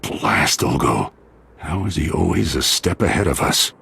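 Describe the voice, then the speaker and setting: A stern older lord in a video game drama. His voice is extremely low and full of gravel, with a heavy husk to it. He speaks as a worried leader of his house. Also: lively intonation, unhurried, sharp tone, full character voice. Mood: grim urgency. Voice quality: very deep, gravelly, husky.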